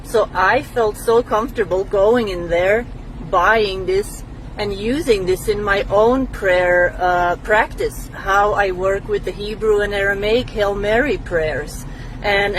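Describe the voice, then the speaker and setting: A woman's voice: mid-range voice, a little hiss or hum, rough recording. A woman from Belgium, speaking casually to camera in a reflective, explanatory way as a spiritual teacher. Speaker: spiritual teacher answering a follower's question.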